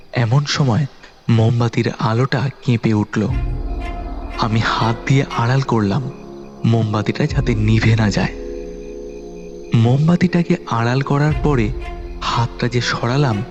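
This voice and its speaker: A man narrating a village horror story in the first person, measured and tense. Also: mid-range voice, darkish tone, muffled recording. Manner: measured storytelling, turns anxious in dialogue.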